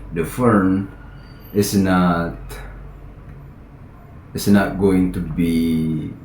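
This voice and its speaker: A man from Indonesia with an Indonesian accent, a native Indonesian speaker, vlogging in English with halting speech full of fillers and restarts. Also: low voice, darkish tone, slight background noise. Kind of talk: casual vlog, practising English. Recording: echoey room.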